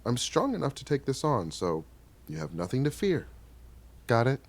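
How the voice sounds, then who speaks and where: A man acting a gentle, bookish middle-aged father in a stage play, explaining things patiently and tenderly, sometimes haltingly. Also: medium pitch, wildly swooping pitch. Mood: tender, reassuring.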